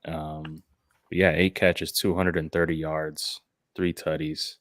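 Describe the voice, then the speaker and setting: A man's voice: extremely low pitch, flat delivery, slow pace, a bit bright, dry recording, studio quality. A man hosting a sports podcast in casual chat, with a slight head cold in his voice.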